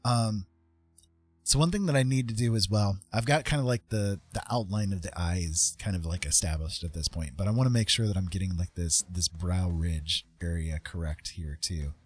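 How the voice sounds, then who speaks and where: A man giving a casual live-stream painting tutorial in an informal, self-deprecating way, with a husky voice. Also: sits low, normal speed. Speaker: art streamer and author. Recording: soft guitar music behind voice. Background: guitar music.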